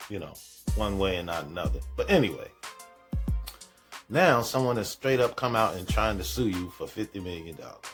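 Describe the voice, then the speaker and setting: A man's voice: on the low side, lively intonation, moderate pace, fairly bright tone, faint music behind. A man hosting a reaction show, giving casual, slangy commentary and repeating phrases for emphasis.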